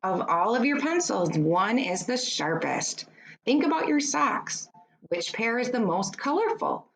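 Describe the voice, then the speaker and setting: A woman reads an educational children's book aloud like a teacher, in a deliberate, instructive way, adding short explanations for young pupils. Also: a little high, big pitch swings, vocal fry, poor audio.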